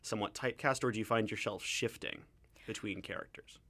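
Fast-talking bright-voiced male host. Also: fairly low pitch. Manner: joking, rambling, self-correcting. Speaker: radio show host. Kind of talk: casual arts interview.

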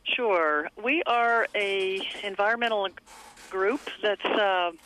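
A woman speaking as an interview guest, explaining her work in a measured, steady way over the phone. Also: mid-range voice, low-quality recording. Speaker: environmental advocate guest.

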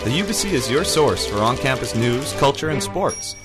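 Male promo announcer over loud music. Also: medium pitch, lively intonation, moderate pace. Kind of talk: scripted radio promo read. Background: loud music bed.

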